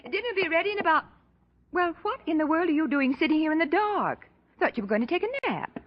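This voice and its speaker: An actress in an old-time radio drama playing a brisk, nosy society hostess, gushing and bossy. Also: female voice, extremely high pitch, animated, no echo. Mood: chatty. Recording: muffled old radio recording.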